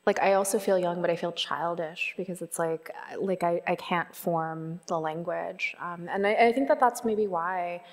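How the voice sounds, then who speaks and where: A woman's voice: on the low side, moderate pace, hardly any pauses. A young adult woman interviewing onstage, speaking tentatively with many fillers and repeated "like", through a headset mic. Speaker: art historian interviewer. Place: lecture hall stage, seated in armchair. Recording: long hall reverb.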